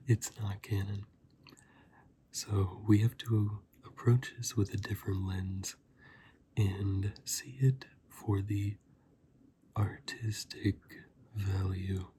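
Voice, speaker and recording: Soft, slow, low-voiced whispering man. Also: slight background noise. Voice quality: soft, breathy, often whispered. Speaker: video host and reviewer. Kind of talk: softly spoken ASMR movie review, casual.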